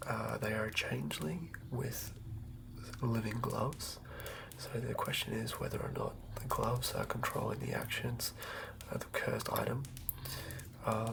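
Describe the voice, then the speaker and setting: A man giving a whispered, improvised ASMR narration as a game master, close to the mic, murmuring in a halting way as he thinks aloud. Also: medium pitch, gravelly, rough recording.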